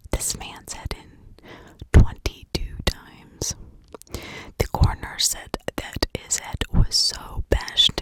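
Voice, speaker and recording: A woman doing ASMR true-crime storytelling in a breathy whisper, chatting from memory with dramatic emphasis on key words. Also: a bit bright, rough recording. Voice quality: breathy, unvoiced whisper with creaky edges.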